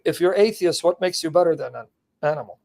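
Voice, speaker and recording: A man's voice: on the high side, animated, fast, gravelly, darkish tone. A man hosting a debate, brash and mocking, firing questions at a caller. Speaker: host. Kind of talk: combative debate talk.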